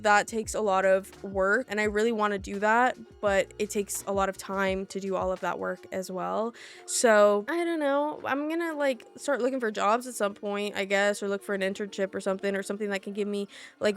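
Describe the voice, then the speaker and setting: Chatty young woman, candid and laughing. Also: medium pitch. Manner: chatty and candid, laughs often, lots of fillers. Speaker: YouTuber, Sims speed builder. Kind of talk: casual chat over a game speed build.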